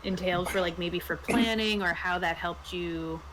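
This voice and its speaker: A woman's voice: on the low side, fairly even intonation, moderate pace, a crowd in the background, low-quality recording. A woman hosting a casual review discussion, asking questions and adding her own thoughts.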